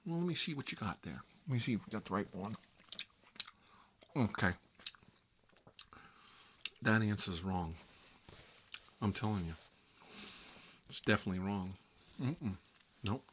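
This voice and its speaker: Low male voice, fussy and petulant, gossipy rambling, ASMR babysitter role-play, noisy recording with clicks. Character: Marvin, fussy, petty grown man stuck babysitting.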